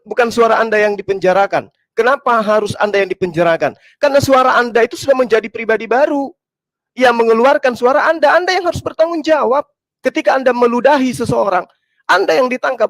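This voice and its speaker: A man's voice: extremely high pitch, fast, dry recording. A man debating religion assertively, with emphatic declarations and short, repeated verdicts.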